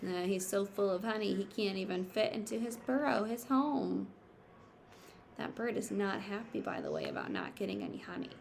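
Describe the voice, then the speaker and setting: A woman's voice: medium pitch, sing-song, normal speed, gravelly, slight background noise. A woman reading a picture book aloud like a teacher, expressively, with simple explanatory asides for children.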